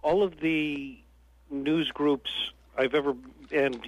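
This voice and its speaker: A man's voice: fairly high pitch, fairly even intonation, takes it slowly, a little hiss or hum. Chatty male caller over the phone. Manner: chatty, wry anecdotes. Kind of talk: phone-in storytelling. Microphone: telephone. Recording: phone line into radio studio.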